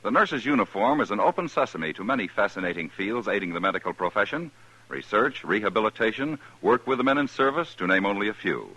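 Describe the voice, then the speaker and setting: An American man announcing on 1950s radio, reading a scripted appeal in a formal, emphatic, husky voice. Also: lowish voice, lively intonation, quick pace, sharp tone. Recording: dull, lo-fi 1950s radio recording.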